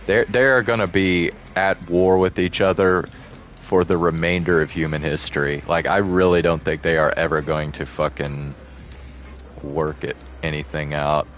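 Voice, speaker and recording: A man's voice: rumbling low, steady tone, normal speed, fairly bright tone, dull audio, soft background music. A young man streaming a game, chatting casually in a rambling, blunt way and swearing freely. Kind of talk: casual gameplay commentary.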